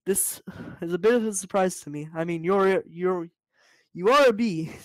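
A man reading the part of a startled, polite young woman who speaks in a hesitant, halting way. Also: high-pitched, no room sound, close to an everyday voice. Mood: bewildered.

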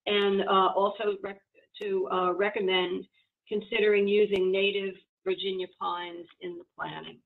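Halting woman on a tinny call. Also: medium pitch, flat delivery, gravelly. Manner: halting, many fillers and restarts. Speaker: committee chair reporting to board. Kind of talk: committee report at public meeting. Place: home, video call. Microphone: video call. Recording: tinny phone-like video call audio.

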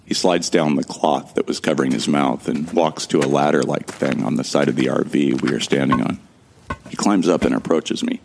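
Very deep, gravelly man narrating wryly. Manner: wry, joking, casual with swearing. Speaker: narrator and protagonist. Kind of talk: first-person scripted drama narration.

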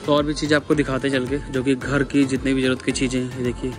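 A man's voice: mid-range voice, little pitch movement, fast talker. A man with a North Indian accent giving a casual, repetitive walk-through shopping commentary as a vlogger, addressing viewers like buddies. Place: large busy supermarket, indoors. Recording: background music over store vlog audio. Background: music.

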